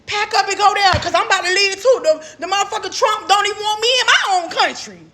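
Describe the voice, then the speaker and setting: American woman, really high bright voice, cussing and venting, blunt. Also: quick pace. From United States. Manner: blunt, profane, exasperated. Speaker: social media commentator. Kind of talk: casual ranting monologue.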